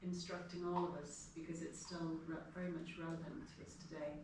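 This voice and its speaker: A middle-aged woman with an Irish accent, giving an informal spiritual class in a measured, explanatory way. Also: fairly low pitch, level tone. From Ireland. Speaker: guest speaker giving a devotional class. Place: house gathering room, indoors. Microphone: distant from the speaker. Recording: echoey room, distant mic, noisy.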